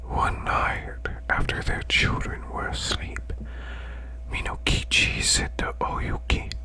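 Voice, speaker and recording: A performer voicing a male demon boss who tells bedtime tales in ASMR style, in a hushed, breathy, growling whisper with slow, deliberate delivery. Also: rough recording. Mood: hushed, eerie.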